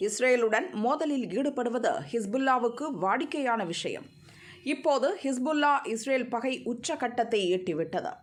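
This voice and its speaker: A woman narrating a scripted news report in an even, formal read. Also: a little high, moderate pace.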